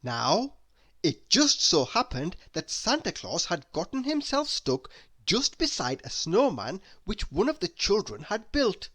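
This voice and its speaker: A man reading a children's story aloud in a deliberate, expressive way, leaning on key words. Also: high-pitched. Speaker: storybook reader.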